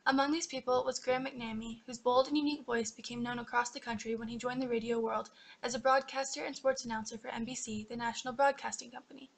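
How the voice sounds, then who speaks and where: A woman's voice: a little high, steady tone, quick pace, vocal fry. A young woman reading scripted documentary narration for a student history project.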